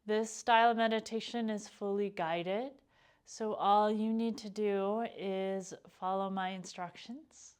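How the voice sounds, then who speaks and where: Clear, soothing woman guiding meditation. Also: mid-range voice. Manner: calm, gentle, unhurried with pauses. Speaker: yoga nidra meditation teacher. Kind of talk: guided meditation instruction.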